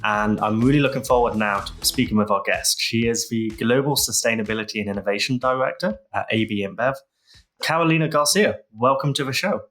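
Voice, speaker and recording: A man's voice: mid-range voice, brisk, a bit bright. A man with a mid-range voice hosts an interview podcast. He speaks in a friendly, prepared presenter style, with faint music behind him. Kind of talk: friendly scripted introduction and interview questions.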